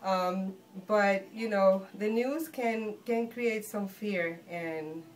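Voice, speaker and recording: A woman from Guatemala with a Guatemalan Spanish accent, giving an informal spiritual talk in an earnest, reassuring, halting manner. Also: mid-range voice, a little hiss or hum. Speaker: shaman and healer, guest. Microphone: phone handed over.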